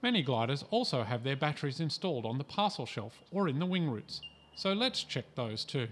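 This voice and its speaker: Calm man narrating a training video. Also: medium pitch, a little hiss or hum. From Australia. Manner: calm, measured and instructive. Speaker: instructional narrator. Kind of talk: scripted training voice-over.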